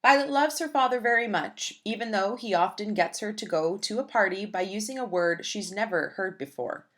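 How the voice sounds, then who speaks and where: A woman's voice: mid-range voice, animated, normal speed, a bit bright. Woman reading a picture book aloud. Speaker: children's book reader.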